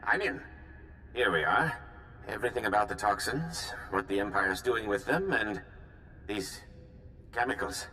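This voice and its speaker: An earnest working-class resistance leader in a video game. The voice is fairly low and sharp-edged, urgent and businesslike, heard with lingering reverb and faint noise. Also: male voice, expressive, measured pace, long pauses. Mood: focused. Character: earnest, weary male foreman leading a resistance. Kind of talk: scripted video game cutscene acting.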